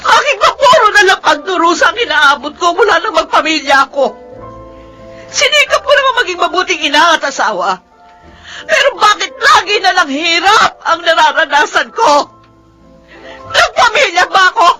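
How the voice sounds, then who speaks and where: Long-suffering middle-aged mother, tearful and reproachful, piercingly high, swooping radio melodrama over music. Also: sing-song, moderate pace, bright, forceful tone, heavily acted, low-quality recording. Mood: anguished. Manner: tearful, reproachful, wailing outbursts. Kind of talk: melodramatic radio drama acting.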